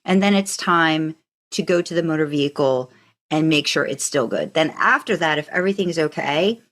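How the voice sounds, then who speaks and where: Casual podcast co-host: American woman, pitch a little on the low side, chatting informally. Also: normal speed, a bit bright. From United States. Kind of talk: casual fandom podcast chat.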